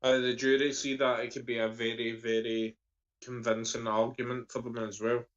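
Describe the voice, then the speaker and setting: A man's voice: mid-range voice, level tone, moderate pace, dull audio. A man giving blunt opinions in a casual discussion, using slang. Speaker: discussion panelist, security industry worker.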